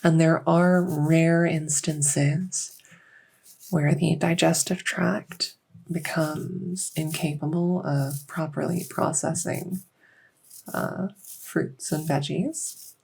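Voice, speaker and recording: A woman playing a gentle, reassuring fairy-dragon clinician in an unhurried, slightly hesitant medical roleplay ASMR. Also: deep voice, steady tone, gravelly, breathy edge, exaggerated voice, poor audio. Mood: clinical, attentive. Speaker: roleplay audio performer.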